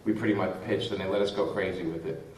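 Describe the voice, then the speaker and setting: A man's voice: sits low, steady tone, quick pace, darkish tone, far from the mic, a little hiss or hum. A man, a comic writer telling rambling, chatty anecdotes at a live convention panel. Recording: echoey room mic from afar.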